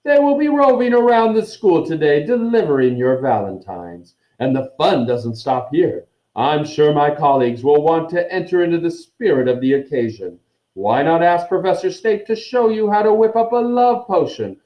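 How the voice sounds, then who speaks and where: Vain wizard professor, acted by a man, storybook reading, grandiose, gushing, raised pitch, echoey dull recording. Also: lively intonation, normal speed, natural voice. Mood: beaming, showy. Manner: grandiose, gushing public-speech delivery. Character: vain, beaming male wizard professor, showy and self-delighted. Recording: echoey room, dull muffled sound.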